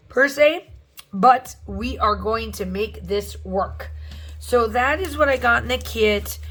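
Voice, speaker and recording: Woman host for a craft vlog voiceover; chatty, rambling, slightly hoarse, bright tone. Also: fairly high pitch. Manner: rambling, chatty, many fillers and restarts. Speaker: scrapbooking channel host. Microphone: voice-over recorded separately from the video.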